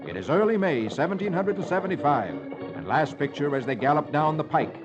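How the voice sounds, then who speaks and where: A muffled vintage radio recording with music behind a man narrating. His delivery is animated and theatrical, that of a 1950s host reading adventure stories aloud. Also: fairly high pitch, fairly quick. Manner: theatrical storytelling.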